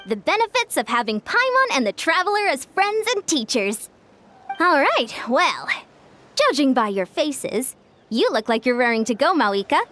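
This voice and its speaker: A woman's voice: high voice, sing-song, moderate pace, fairly bright tone, a little hiss or hum. A woman playing an upbeat, confident young course designer in a video game, explaining things in a self-assured way. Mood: pleased, encouraging. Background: music.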